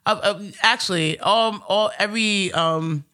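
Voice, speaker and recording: A woman stand-up comedian from New with a deep, raspy voice, chatting casually in an interview with lots of fillers and restarts. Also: a little slow, pushed and bright. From Astoria, New York. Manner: rambling, many fillers and restarts.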